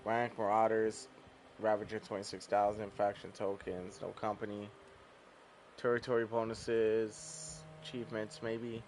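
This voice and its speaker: A man's voice: fairly low pitch, level tone, measured pace, a little mellow, a little hiss or hum. A man streaming a game, chatting casually to viewers in a laid-back, rambling way with casual swearing. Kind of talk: casual gameplay commentary.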